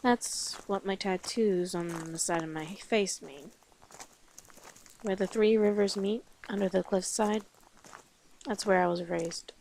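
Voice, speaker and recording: A woman's voice: mid-range voice, takes it slowly, vocal fry, a little mellow. Girl doing a sardonic orc mercenary lady, takes her time talking, fantasy roleplay audio, noticeable noise behind. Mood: frank, reflective. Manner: sardonic, blunt, teasing. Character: brash, teasing female orc mercenary guide.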